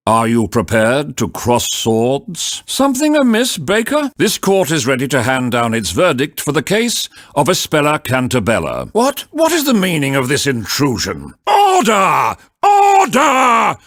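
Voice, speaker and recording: A stern, elderly medieval judge for a video game. His pronouncements are slow, formal and pompous, with very dramatic swings in pitch. He is easily flustered, and the recording is dry and close. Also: huge pitch swings, fairly bright tone, dry recording, full character voice. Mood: startled, outraged. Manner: formal, pompous, slow courtroom pronouncements, sputtering when surprised. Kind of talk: theatrical video game voice acting.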